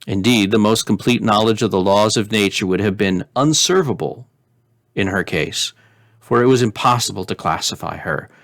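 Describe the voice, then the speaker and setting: A man reading a story aloud as a storyteller, in a measured, expressive way. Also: fairly low pitch, creaky, no echo, studio quality. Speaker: storyteller, pastor.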